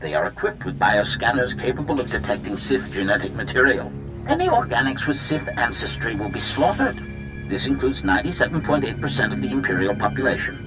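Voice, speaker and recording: A man plays a sardonic, bloodthirsty assassin droid in a video game. His formal, clipped delivery swings widely in pitch over prominent music. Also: very animated, a little fast, natural voice, low-quality recording. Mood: cold warning. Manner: announces speech type before sentences, clipped and formal.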